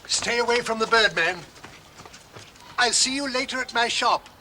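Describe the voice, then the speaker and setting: A scheming, patronising shopkeeper. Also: male voice, very high voice, moderate pace, bright, forceful tone, slight background noise, full character voice. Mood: coaxing. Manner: patronising, smooth-talking. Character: scheming shopkeeper man feigning kindness. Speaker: villain.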